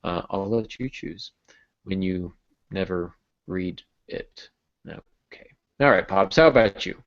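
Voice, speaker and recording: A man's voice: low-pitched, a little slow. American man hosting over dull call audio. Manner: jokey, self-deprecating. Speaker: podcast host. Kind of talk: casual podcast chat. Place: small home room on a video call.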